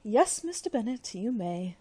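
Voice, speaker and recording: A woman's voice: on the high side, big pitch swings, measured pace. A woman playing a fretful, domineering middle-aged mother in a radio play, with an imperious, melodramatic, wailing manner.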